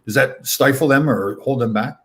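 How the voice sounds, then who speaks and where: A man's voice: medium pitch, moderate pace, a bit bright. A middle-aged man hosting a casual interview, thinking aloud with frequent restarts and repeated words. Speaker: podcast host.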